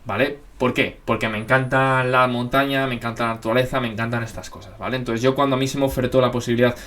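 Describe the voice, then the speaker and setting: A young man with a Madrid accent talks at a rapid clip as he hosts his own channel. His pitch sits in the middle range, and his delivery is loose and rambling, full of filler tags and restarts. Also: steady tone, fairly bright tone. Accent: Madrid Spanish. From Spain. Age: young adult. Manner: rambling, lots of filler tags and self-corrections. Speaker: basketball channel host. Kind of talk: casual Q&A monologue.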